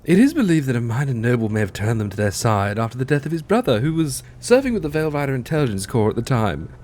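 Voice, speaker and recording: A man playing a measured, formal and dry master sergeant of a fantasy race in an audiobook. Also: mid-range voice, sing-song, darkish tone, slight background noise, close to an everyday voice. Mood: dry, composed. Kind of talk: audiobook character acting.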